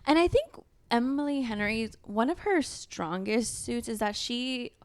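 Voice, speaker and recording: A woman's voice: a little high, lively intonation, measured pace, no room sound. A woman co-hosting a book podcast, chatting informally and thinking aloud as she goes. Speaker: podcast co-host. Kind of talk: casual book chat.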